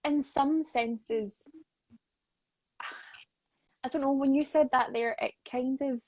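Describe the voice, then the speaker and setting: A woman's voice: sits high, steady tone, slow pace, darkish tone, no room sound, poor audio. Dry-sounding young woman chatting casually. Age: 20s. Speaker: interview host, associate producer. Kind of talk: casual live online chat.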